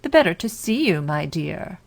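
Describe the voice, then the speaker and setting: A woman voicing a big, wicked storybook wolf with a slow, falsely sweet, coaxing manner. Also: on the low side, big pitch swings, vocal fry, heavily acted. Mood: falsely sweet, menacing.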